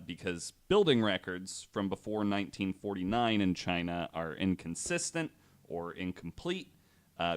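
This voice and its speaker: A man hosting a podcast, telling stories casually and a bit haltingly, with the odd swear word. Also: on the low side, clean tone, dry recording, clean studio sound. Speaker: podcast host. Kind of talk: casual storytelling on a podcast, swearing now and then.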